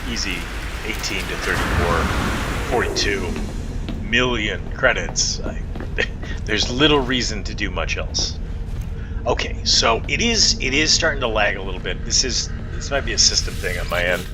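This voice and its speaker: A man giving casual, rambling gameplay commentary, often muttering to himself as he thinks aloud. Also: moderate pace. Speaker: gaming video host. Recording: loud steady background noise, rumbling hum.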